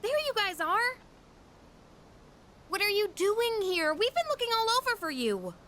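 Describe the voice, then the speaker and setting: A woman playing an excitable, easily startled teenage schoolgirl in a video game. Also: extremely high pitch, a little slow, heavily acted. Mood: exasperated.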